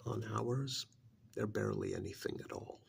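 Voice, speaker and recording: A man voicing an ancient alien creature who scorns humans, in a gravelly, breathy ASMR roleplay monologue that often sinks into whispers, slow and brooding. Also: lowish voice. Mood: weary, annoyed. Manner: slow, deliberate, brooding, with sighs and pauses.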